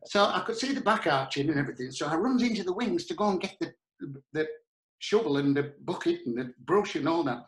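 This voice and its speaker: Man, Northern English accent, fairly high voice, colloquial, animated comic storyteller, echoey recording. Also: a little fast. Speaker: podcast guest, pantomime performer. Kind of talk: casual comic anecdote telling. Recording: roomy, echoey sound.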